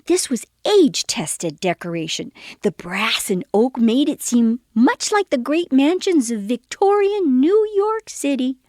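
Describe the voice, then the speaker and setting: An elderly woman in a comedy sketch, dreamy and theatrical. She savors her words as she reminisces, with a pitch that swoops widely, in a close, dry recording. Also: big pitch swings, unhurried, few pauses, a little mellow, no echo. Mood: wistful, relishing. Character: elderly woman reminiscing wistfully about racy youth.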